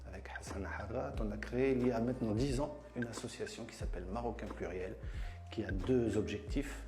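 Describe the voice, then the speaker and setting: A middle-aged man born and raised in France who leads a community association, talking in an interview monologue and repeating words for emphasis. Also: fairly low pitch. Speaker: association leader. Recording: echoey room with music.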